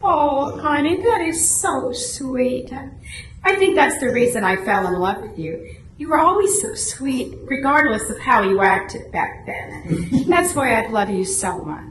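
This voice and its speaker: A woman's voice: high-pitched, expressive, a little slow, a bit bright. A woman playing a loving but easily exasperated wife in a comic radio play, exclaiming and questioning her husband. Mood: tender, adoring. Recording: roomy, echoey live recording, clear background noise.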